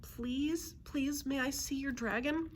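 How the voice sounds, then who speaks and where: A woman's voice: sits high, takes it slowly, slight background noise, voice pushed up. A woman voicing a stubborn young boy in a children's storybook, with a childlike manner. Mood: eager. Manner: grumbling, childlike. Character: stubborn, whiny young boy, softening into wonder. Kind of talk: children's storybook read-aloud.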